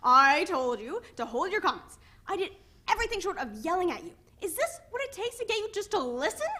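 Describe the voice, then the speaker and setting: A woman's voice: very high voice, big pitch swings, brisk, pushed and bright, full character voice. A woman playing an exasperated, sarcastic pregnant teenage girl in a dramatic reading of a stage play. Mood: exasperated, angry. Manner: sarcastic, scolding.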